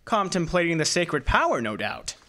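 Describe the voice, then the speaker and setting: A man's voice: on the high side, big pitch swings, normal speed, sharp tone. A man playing a tough, wisecracking detective's assistant in an old-time radio drama, talking in breezy hard-boiled slang. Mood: brash, puzzled.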